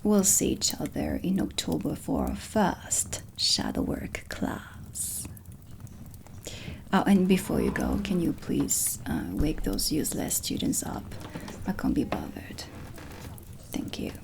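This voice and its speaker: A woman speaking softly and breathily in a measured ASMR lesson, half whispering, as a witch teacher. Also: sits low, huge pitch swings. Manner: soft, breathy, measured teaching delivery with deliberate pauses. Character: dark witch teacher, stern and aloof. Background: ambient music.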